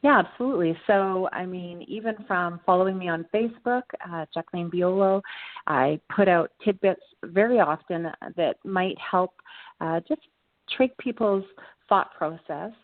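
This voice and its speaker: A woman's voice: lowish voice, normal speed, no room sound, narrow, tinny audio. A woman, speaking earnestly and reflectively as a guest answering interview questions. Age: 40s.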